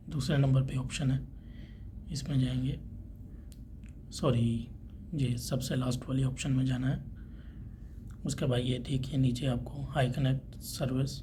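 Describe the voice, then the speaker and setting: Male tutorial presenter, medium pitch, informal step-by-step delivery, noticeably noisy recording. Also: steady tone, a little slow, pauses often. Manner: informal, talking through each step while doing it. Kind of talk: step-by-step tech tutorial narration. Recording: clearly noisy background ambience.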